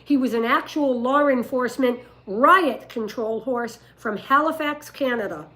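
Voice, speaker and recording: An older woman with a rough voice, telling stories and giving advice casually on a livestream, in an emphatic, deliberate way. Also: a little high, fairly bright tone. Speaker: dog breeder and livestream host.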